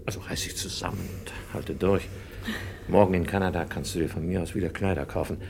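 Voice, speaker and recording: A cold bossy man on the run, low animated voice, old hissy radio crime play. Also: brisk, creaky. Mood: stern, hushed urgency. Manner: curt, commanding, reassuring then scolding. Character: cold, cunning fugitive murderer doctor, bossy lover. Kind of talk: vintage German radio crime drama acting.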